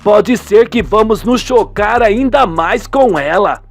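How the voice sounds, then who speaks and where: Man acting a knowing, high-voiced husband. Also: lively intonation, slow pace, no echo, faint music behind, exaggerated voice. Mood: knowing, concerned. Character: husband sharing gossip, knowing, concerned.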